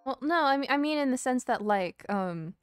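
A woman's voice: sits high, animated, moderate pace, clean tone, no echo. Female game streamer, loose hesitant chatter, casual swearing, dry close sound. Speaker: live streamer. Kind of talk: casual chat, game playthrough commentary. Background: game music.

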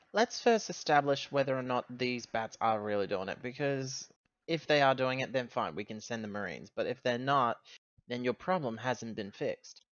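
A man's voice: medium pitch, lively intonation, unhurried, a bit bright, no room sound. A man playing a tabletop game, talking through the situation in conversation, in slightly dull close audio.

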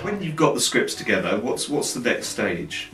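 A man's voice: mid-range voice, big pitch swings, normal speed, roomy sound. A man acting as interviewer, introducing guests and asking questions in a casual style. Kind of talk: casual behind-the-scenes interview.

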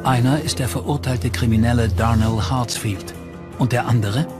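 A man's voice: medium pitch, steady tone, moderate pace. Male true crime narrator over prominent music. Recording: music bed under voice.

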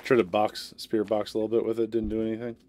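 A man's voice: fairly low pitch, completely flat delivery, fast talker, warm tone, slight background noise. A man streaming a horror game, chatting casually with a friend and muttering to himself with sighs. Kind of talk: casual gaming chat.

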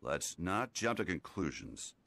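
An actor playing a cautious, heroic toy space ranger in a video game, firm and authoritative. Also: male voice, low-pitched, very animated, a little slow, gravelly, fairly bright tone, dry recording, full character voice. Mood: wary.

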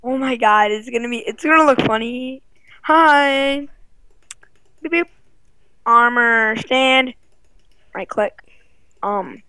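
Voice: medium pitch, slow, pauses often, pushed and bright, clear, resonant tone, no echo, rough recording. A child or young teen chatting casually and playfully as a co-player in a game. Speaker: co-player guest. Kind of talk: casual gaming chat.

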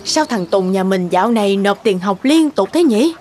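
A woman voicing a worried middle-aged rural mother in an animated cartoon. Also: mid-range voice, normal speed, slight background noise, close to an everyday voice.

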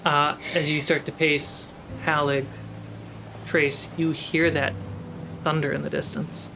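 Unhurried male game master over ambience. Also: a little high, level tone, vocal fry, noisy background. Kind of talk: narrating a tabletop roleplaying game. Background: ambient sound effects.

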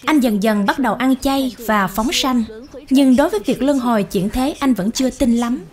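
A woman narrates a scripted tale. Her voice sits on the high side. Gentle background music runs beneath the narration. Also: normal speed. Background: soft music.

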